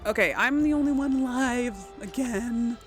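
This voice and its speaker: A woman's voice: a little high, normal speed. A woman giving casual gameplay commentary, thinking aloud and muttering complaints to herself as she plays. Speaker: let's play host. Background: video game music and sounds.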